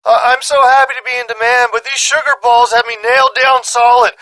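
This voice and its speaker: High, strained harried ghost hunter. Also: male voice, level tone, a little fast, sharp tone, rough recording. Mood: strained, panicked. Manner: strained, exasperated. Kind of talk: video game character dialogue.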